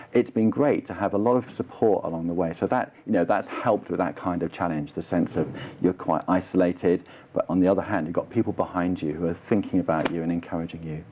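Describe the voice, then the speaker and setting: A man's voice: low voice, brisk. A British man, an actor and drama teacher, giving earnest, explanatory answers in a conversational interview. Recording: dull, muffled lo-fi audio.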